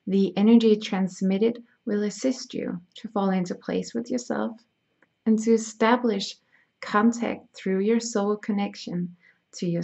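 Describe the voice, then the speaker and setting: Slow, husky-edged woman, gravelly and soft. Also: medium pitch. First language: Danish. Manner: soft, slow, soothing, drifting into whispers. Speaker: spiritual healer. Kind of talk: slow guided meditation reading. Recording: dull lo-fi sound.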